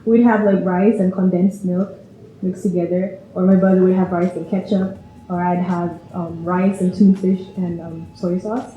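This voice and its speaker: Young woman in her 20s from vienna, chatty and giggly, soft mellow tone, roomy echo w faint music. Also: on the low side, steady tone, moderate pace, dull audio, slight background noise. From Vienna, Austria. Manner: chatty, giggly, breaks into laughter often. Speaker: interviewee. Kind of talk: casual personal interview.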